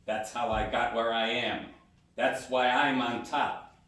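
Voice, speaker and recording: A man's voice: medium pitch, level tone, takes it slowly, a bit bright. A man playing an arrogant, blustering wealthy businessman, domineering and contemptuous, in a live radio play. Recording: echoey hall, far from the mic.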